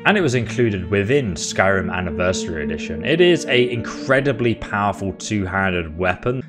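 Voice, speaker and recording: A man hosting a game playthrough with casual commentary and a playful, mock-dramatic manner. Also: lowish voice. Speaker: gaming video host. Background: game soundtrack.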